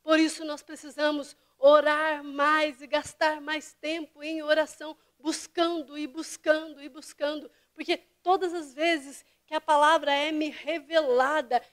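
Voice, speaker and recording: A woman pastor delivering a fervent sermon to her church, dropping to hushed whispers and rising to impassioned cries. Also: high-pitched. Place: church service.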